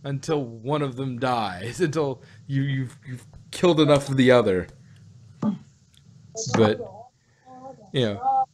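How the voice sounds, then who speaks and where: A man's voice: mid-range voice, sing-song. Rambling man in casual chat, noisy recording. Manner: rambling, halting, repeats words. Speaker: podcast host reviewing audio dramas. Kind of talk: casual chat, fan speculation. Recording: noticeable background noise.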